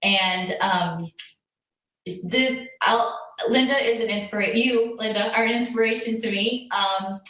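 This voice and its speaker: A woman's voice: fairly high pitch, a little slow, fairly bright tone. American lady host, rambly and gushing, sounds far from the mic and kinda muffled. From Indiana, United States. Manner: effusive, rambling. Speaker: host of an online parenting community chat. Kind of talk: casual video chat interview. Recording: very echoey room, distant muffled mic.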